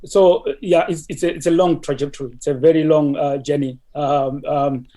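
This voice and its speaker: Mellow-voiced man from Zimbabwe, halting. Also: a little high, steady tone. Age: middle-aged. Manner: many restarts and repeated words, thoughtful. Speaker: interview guest, mathematics professor. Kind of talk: conversational interview answers.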